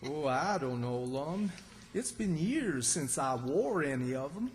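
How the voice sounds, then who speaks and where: A man's voice: a little high, sing-song. A man playing a dim, gullible, good-natured country bumpkin in old-time radio comedy style. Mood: folksy. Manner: folksy, slow-witted, earnest. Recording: reverberant hall stage recording.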